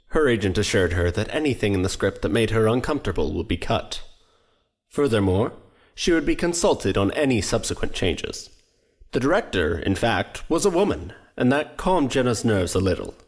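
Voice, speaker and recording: An actor playing a wry documentary host, narrating an audio drama formally and with dramatic emphasis. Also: male voice, lowish voice, big pitch swings, normal speed. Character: theatrical, wry male documentary host narrating with mock gravity. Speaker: narrator and presenter. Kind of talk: scripted narration, true-crime documentary parody.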